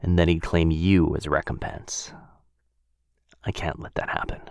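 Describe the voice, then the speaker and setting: An actor playing a protective, brooding vampire lord in an intimate ASMR roleplay, speaking in a hushed, measured, halting way close to the mic. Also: male voice, fairly even intonation, dry recording. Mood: bitter, worried. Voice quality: low, gravelly. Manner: hushed, measured, halting pauses, often dropping to breathy murmur. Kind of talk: boyfriend ASMR fantasy roleplay, intimate one-sided dialogue. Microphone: close microphone, dry.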